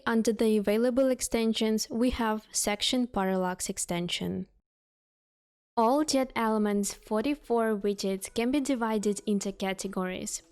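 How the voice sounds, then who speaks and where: A woman reading a scripted software walkthrough in an instructional way, setting out lists item by item. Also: mid-range voice, smooth. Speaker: software tutorial narrator. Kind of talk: scripted product walkthrough narration.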